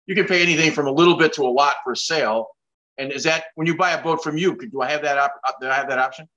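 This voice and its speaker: A man's voice: fairly high pitch, fast, pushed and bright, low-quality recording. An older man hosting a casual interview over a video call, asking rambling questions and making self-deprecating jokes. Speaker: host.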